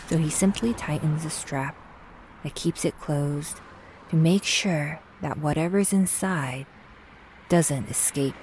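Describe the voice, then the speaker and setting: A woman narrating a scripted audio drama in a measured, suspenseful storytelling manner. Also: sits low, background noise.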